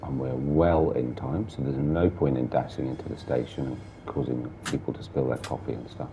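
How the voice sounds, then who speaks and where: Man, very deep and gravelly, blocked-up and hoarse, casual muttering sim-driving commentary over a faint background hiss. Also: steady tone, normal speed. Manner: chatty, muttering through cab controls. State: sore throat, blocked nose. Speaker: gameplay streamer.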